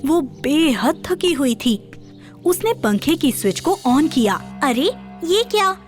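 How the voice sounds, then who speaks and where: A woman's voice: high voice, expressive, takes it slowly, darkish tone, soft background music. A woman narrating a scripted children's story as its storyteller.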